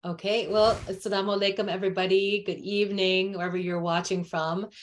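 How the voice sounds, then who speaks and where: A woman's voice: medium pitch, level tone, a little fast, a little hiss or hum. An American woman, a relationship coach, speaking in an upbeat, friendly way on a video call from home. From United States. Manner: upbeat, laughs easily. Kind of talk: casual interview talk. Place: home room.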